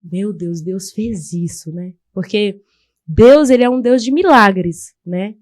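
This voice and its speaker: A woman leads a church podcast interview, answering her guest again and again with praise. Her voice has a medium pitch, and the sound is dry and close to the mic. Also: takes it slowly, a little mellow, no echo. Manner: affirming, with frequent "glória a Deus". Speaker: podcast host.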